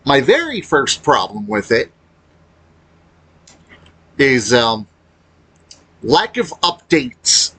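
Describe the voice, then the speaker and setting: A man giving casual, unscripted opinion commentary as a gaming video creator, halting as he talks and blunt in his complaints. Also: medium pitch, big pitch swings, fairly bright tone, husky.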